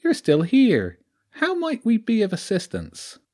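A man voicing a sneering male weasel leader with a high voice and a slight rural southern English twang, taunting and menacing. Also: huge pitch swings, a little slow, warm tone, heavily acted. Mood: mocking.

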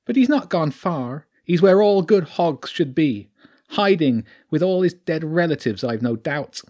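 A man voicing a sneering male weasel leader with a high voice and a slight rural southern English twang, taunting and menacing. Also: animated, unhurried, darkish tone.

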